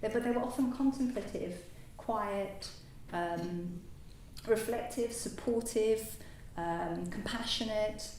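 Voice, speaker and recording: A woman whose first language is French gives a halting personal talk as a new Buddhist order member, full of fillers and restarts. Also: medium pitch, a little mellow. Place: meditation centre hall. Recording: echoey hall, distant mic, faint noise.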